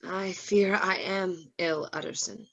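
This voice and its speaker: A woman playing a tormented, remorseful gentleman doctor with formal, anguished delivery in a gothic radio drama. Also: on the low side, fairly even intonation, slow pace, highs cut off, heavily acted. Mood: anguished, vowing. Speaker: actor in a radio play.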